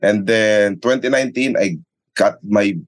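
Lower-voiced Filipino man telling stories. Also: measured pace. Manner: mixes English and Tagalog, repeats phrases for emphasis. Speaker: sports announcer, interview guest. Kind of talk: conversational storytelling in an interview.

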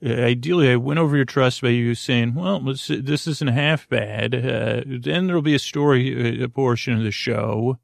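Creaky guy doing a rambly sleep podcast, goofy, keeps trailing off, close dry sound. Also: mid-range voice, no echo. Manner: meandering, halting, trailing off into tangents; self-deprecating, goofy, gently humorous. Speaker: podcast host.

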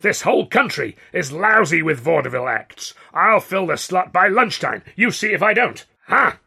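A man performs a big, blustering cowboy-hatted theatre boss for an audiobook. The voice is gravelly and husky, pushed up above the reader's usual pitch. He speaks with bombastic scorn and a vindictive edge. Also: animated, normal speed, pushed and bright, exaggerated voice. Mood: triumphant anger.

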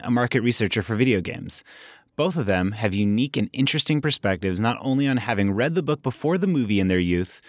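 A man hosting a podcast, introducing his guests and then reading passages aloud in a relaxed, conversational way. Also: mid-range voice, dry recording, studio quality. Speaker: podcast host. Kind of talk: casual podcast chat.

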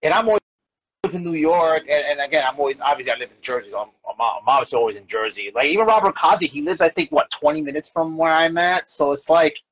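A man's voice: a little high, quick pace, fairly bright tone, husky. An American man hosting a casual chat in an effusive, slangy way, often repeating himself. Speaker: podcast host. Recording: dull, roomy, lo-fi audio.

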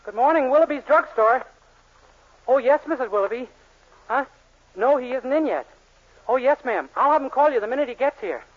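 An actor playing a naive, bashful young American soda jerk, earnest and guileless, in a 1940s radio sitcom. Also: male voice, extremely high pitch, lively intonation. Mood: helpful, chipper. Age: young adult. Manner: earnest, guileless, halting when shy. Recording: muffled, noisy 1940s radio recording.

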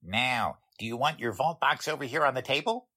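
A man plays a plain-spoken bank attendant in a 1940s-style radio drama. He is chatty and deferential, with a rough-edged husky voice and very animated intonation. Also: medium pitch, brisk.

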